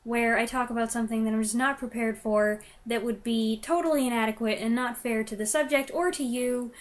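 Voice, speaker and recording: A woman reviewer speaks in a fairly high voice. She comments conversationally and gives her opinions freely, slipping in wry asides as she goes. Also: fairly even intonation, brisk.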